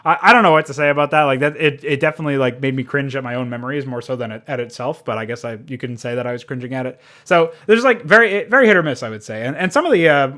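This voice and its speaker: A man's voice: medium pitch, quick pace, hardly any pauses, bright, forceful tone. A man giving a casual, unscripted game review, rambling and informal, with frequent swearing.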